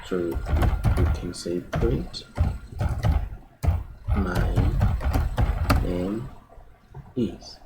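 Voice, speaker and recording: A man's voice: low-pitched, extremely slow, pauses often. A man in his twenties teaching programming casually, often repeating words and asking "right?". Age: 20s. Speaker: programming tutor. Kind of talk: casual screen-recorded coding tutorial. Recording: keyboard typing and room noise behind.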